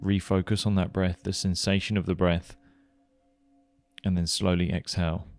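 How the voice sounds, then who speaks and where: A man leading a guided meditation, giving gentle, unhurried instructions with pauses. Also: low-pitched, level tone, no room sound. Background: music.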